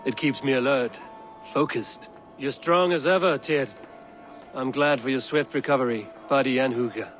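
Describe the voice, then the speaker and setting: A man's voice: medium pitch, a bit bright, soft background music, natural voice. Man playing a solemn, proud Norse warrior. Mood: resolute. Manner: measured, solemn, deliberate. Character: grave, proud male Norse warrior recounting godly visions. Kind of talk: dramatic video game cutscene acting.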